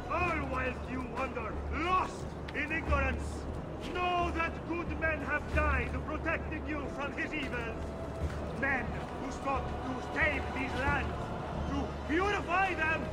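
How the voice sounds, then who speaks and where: An actor playing a fanatical male preacher, declaiming a fiery, theatrical sermon in a video game with zealous fervour. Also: very high-pitched. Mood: scornful. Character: fanatical male preacher ranting scripture from a tower. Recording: loud music over the voice.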